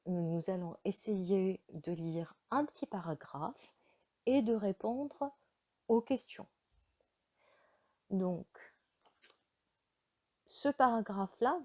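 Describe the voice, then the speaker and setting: Woman teacher, slow and mellow, patient beginner lesson with pauses. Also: medium pitch. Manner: slow, careful teaching delivery with frequent hesitations. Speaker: French teacher.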